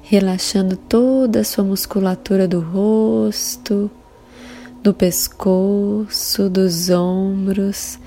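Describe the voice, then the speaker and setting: A Brazilian woman leading a guided meditation in a calm, soothing, measured way with long pauses between phrases. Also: medium pitch. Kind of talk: slow guided meditation narration. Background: soft sustained meditation music.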